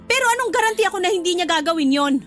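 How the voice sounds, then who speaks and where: Casting: bitter older sister in a radio drama, very high bright voice, scornful and combative. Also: female voice, expressive, racing, faint music behind. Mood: accusing, exasperated. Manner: confrontational, scornful. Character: bitter older sister feuding with her brother's live-in girlfriend.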